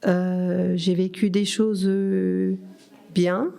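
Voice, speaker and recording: A woman's voice: fairly low pitch, level tone, very slow, vocal fry, mellow. A woman in her fifties reflecting on her own life, hesitant and often putting questions to herself. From Turkey. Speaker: podcast guest. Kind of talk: reflective personal testimony.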